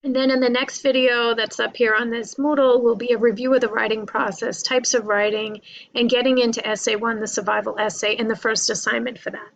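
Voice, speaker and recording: A woman's voice: on the high side, level tone, brisk, creaky, a bit bright, no room sound, dull audio. A woman lecturing informally to college students, explaining in a conversational way, repeating points and often checking in with "right?" and "you know".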